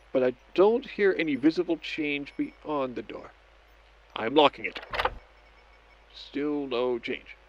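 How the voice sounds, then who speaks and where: A man playing a methodical scientist in an audio drama, narrating each step of an experiment log in a measured, clinical way. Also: on the high side, a little mellow. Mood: clinical, curious. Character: methodical male scientist doctor logging experiments on tape. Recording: dull lo-fi audio with background noise.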